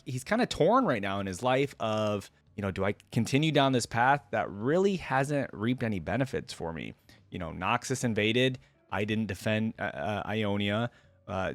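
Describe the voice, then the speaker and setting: A man's voice: on the low side, no room sound. A man hosting a reaction video, moving between casual commentary and reading story text aloud.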